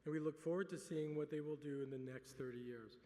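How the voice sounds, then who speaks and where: A man's voice: a little high, a little fast. Middle-aged man giving a gala speech. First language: French. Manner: relaxed, dry humor with many "um"s. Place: large banquet hall. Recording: reverberant hall.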